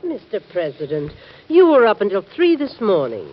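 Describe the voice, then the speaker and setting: An actress playing a president's protective, insistent wife in an old radio drama, admonishing and firm. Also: female voice, mid-range voice, wildly swooping pitch, normal speed. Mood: concerned. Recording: dull old radio recording.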